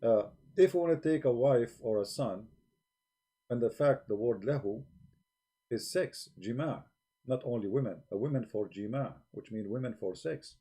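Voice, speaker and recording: Man, live-stream host, mellow voice, blunt challenging talk, broken English, desk microphone. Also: medium pitch, animated, normal speed, slightly rough. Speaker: livestream host.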